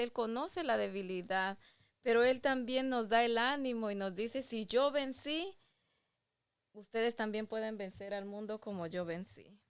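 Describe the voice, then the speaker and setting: A woman's voice: fairly high pitch, smooth. A woman giving an earnest devotional talk and inviting listeners to pray.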